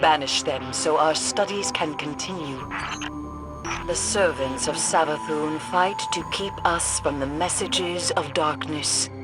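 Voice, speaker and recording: A woman's voice: sits low, unhurried. An actress playing a stern, scornful mystic woman in a video game, speaking in a solemn, commanding, portentous way. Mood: solemn, urgent. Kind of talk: video game character acting. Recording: music behind the voice.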